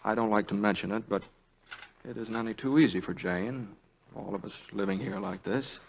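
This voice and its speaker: A man's voice: lowish voice, normal speed, vocal fry, a little hiss or hum, rough recording. A man with an American accent playing a devoted young lawyer husband in a 1940s radio drama, earnest and hesitant, stammering when flustered. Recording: vintage 1940s radio broadcast, orchestral music.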